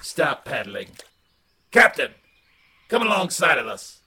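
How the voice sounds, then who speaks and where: An actor playing a cold, commanding master of a river expedition in a sci-fi audio drama, giving formal, clipped orders. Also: male voice, medium pitch, animated, a little slow, bright, forceful tone, heavily acted. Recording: clearly noisy track with trickling water.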